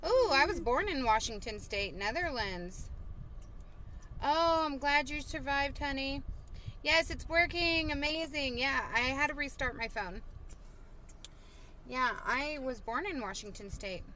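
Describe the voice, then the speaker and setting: A woman's voice: high voice, lively intonation, a little slow, bright, forceful tone, slight background noise, low-quality recording. A woman in her thirties chatting casually on a live stream from a parked car, reading viewer comments with lots of fillers, recorded on a phone at arm's length. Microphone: phone held at arm's length.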